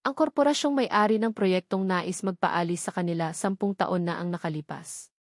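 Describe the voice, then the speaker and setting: A woman's voice: fairly low pitch, moderate pace, no echo. Filipino woman narrating a dramatic story, close and dry. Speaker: narrator of audio love stories.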